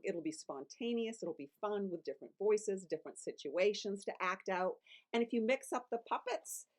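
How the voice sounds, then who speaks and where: A woman's voice: medium pitch, darkish tone. An older woman hosting a casual video, talking in a playful, encouraging way. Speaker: children's author and host. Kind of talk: casual vlog for grandparents.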